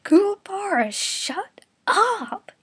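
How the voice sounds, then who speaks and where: A woman voicing a hot-tempered teenage boy who shouts in exasperation in a fan story reading. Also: very high-pitched, sing-song, takes it slowly, no echo, pitched above the performer's own voice, full character voice. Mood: furious.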